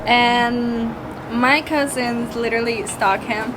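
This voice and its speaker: A woman from Colombia, a native Spanish speaker with a Colombian accent, chatting casually in halting English. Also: on the high side, fairly even intonation. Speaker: guest, partner of the host. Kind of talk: casual chat. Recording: noisy background.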